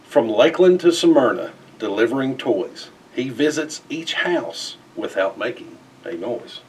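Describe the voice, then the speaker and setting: A middle-aged man reads a rhyming children's picture book aloud in an expressive, theatrical way with emphatic phrasing. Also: mid-range voice, measured pace, recorded from a distance, slight background noise. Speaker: storybook reader. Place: indoors in front of a festive backdrop.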